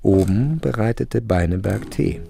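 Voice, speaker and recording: A man's voice: on the low side, expressive, a little slow, gravelly, warm tone. A man reading scripted literary narration as the narrator of a radio drama.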